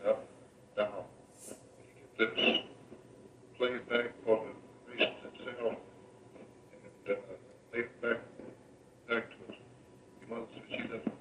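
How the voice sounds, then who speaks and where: A man's voice: medium pitch, level tone, slow pace, long pauses. An older man talking casually in a folksy, rambling way, as in a taped letter to his family. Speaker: grandfather recording a tape letter to family. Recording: old home reel tape, echoey, faint noise.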